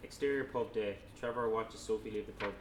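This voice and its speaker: A man narrates a screenplay at a table read in a plain, matter-of-fact way. His voice is lowish and very even in pitch. It is picked up from far off in a noisy, echoey room. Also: a little fast. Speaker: narrator reading stage directions at a table read. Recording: echoey room, distant mic, noisy background.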